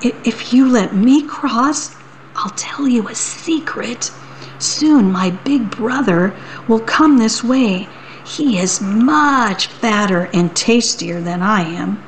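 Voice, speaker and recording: Woman putting on a little goat, stammering, timid, high-pitched, storybook. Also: animated, takes it slowly, slight background noise, poor audio. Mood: sly. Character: small nervous stammering billy goat.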